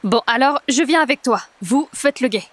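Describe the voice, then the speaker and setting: Casting: female actor for bossy, quick-witted time traveller, high-pitched, comic audio serial. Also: expressive, a bit bright. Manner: colloquial, assertive. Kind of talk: comic audio drama acting.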